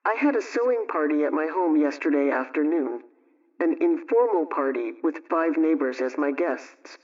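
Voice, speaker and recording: A man reading an old household radio script aloud in the first person, in an even, unhurried way. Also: fairly high pitch, distant mic. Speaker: reader. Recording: dull lo-fi sound, away from the mic.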